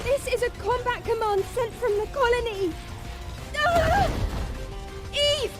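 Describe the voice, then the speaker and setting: Anxious, very high-voiced young girl. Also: animated, slow pace, exaggerated voice. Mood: panicked. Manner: pleading, highly emotional. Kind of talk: dramatic video game acting. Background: dramatic game music.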